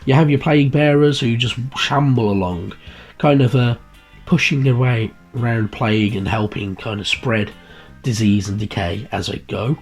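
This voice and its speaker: A man hosting a miniature painting channel, croaky and bunged up with a heavy cold, chatting casually with lots of fillers and restarts. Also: medium pitch, moderate pace. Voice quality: husky. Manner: rambling, many fillers and restarts. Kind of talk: casual video chat about a magazine issue. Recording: background guitar music, cheap mic.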